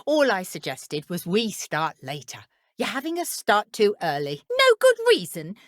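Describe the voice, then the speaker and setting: A woman voicing a blunt, suspicious dark witch henchwoman in a video game, with a British accent and a needling, confrontational manner. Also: medium pitch, huge pitch swings, measured pace, no room sound, clean studio sound. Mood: accusing, stubborn.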